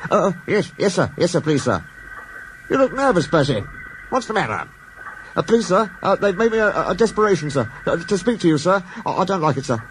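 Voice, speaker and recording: A man's voice: high voice, very animated, fairly quick, a little hiss or hum, pitched above the performer's own voice, poor audio. A man voicing a small, timid young male engine who stammers and keeps saying "sir". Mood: nervous. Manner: stammering, nervous, over-polite.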